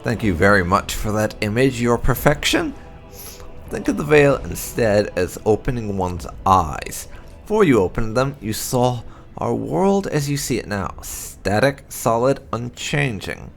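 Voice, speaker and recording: A man narrating fantasy game lore aloud, with deliberate, dramatic emphasis as a video host. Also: medium pitch, sing-song, creaky, soft background music.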